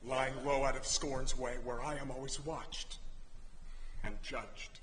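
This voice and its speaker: A man playing a bitter, burdened Greek king in a stage tragedy, with deliberate, heavy phrasing. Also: mid-range voice, fairly even intonation, fairly bright tone. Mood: bitter, brooding. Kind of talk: theatrical stage acting. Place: school theatre stage. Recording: reverberant hall, faint noise.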